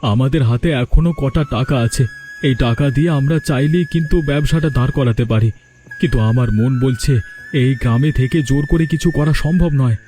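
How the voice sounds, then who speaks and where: A man's voice: medium pitch, brisk, mellow, soft background music, close to an everyday voice. A man voicing a poor, humble male yogurt seller in a cartoon, with a deferential, plaintive manner. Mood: dejected. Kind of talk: cartoon character dialogue.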